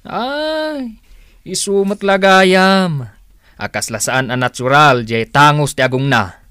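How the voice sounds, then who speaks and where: An actor playing an easygoing, indulgent, drily amused husband in an Ilocano radio drama. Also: male voice, on the high side, slow, bright, forceful tone, clear, resonant tone, no echo, clean studio sound.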